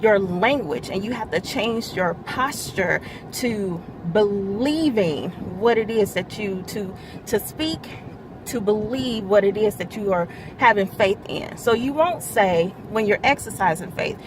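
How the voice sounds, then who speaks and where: A woman who oversees a church's media ministry gives a midweek devotional. She stresses key words, gets louder as she explains scripture, and laughs lightly between remarks. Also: mid-range voice, lively intonation, a little slow, background noise.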